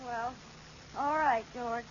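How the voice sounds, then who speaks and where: A high-pitched young housewife from a golden-age radio sitcom. She is dryly funny and easily alarmed. The old recording is dull and clearly noisy. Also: fairly even intonation, slow. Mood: wry, nervous. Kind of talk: 1940s-50s radio sitcom acting.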